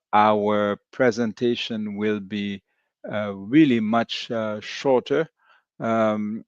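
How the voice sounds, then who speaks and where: A man from Haiti, hosting a commentary program in a halting, measured way with frequent hesitations. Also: on the low side, dry recording. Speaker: host. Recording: dull lo-fi dry recording.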